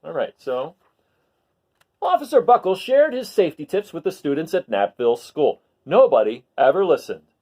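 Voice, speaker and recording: A man reading a children's picture book aloud expressively, pausing for asides and questions to his listeners. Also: on the high side, big pitch swings, darkish tone. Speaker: read-aloud storyteller.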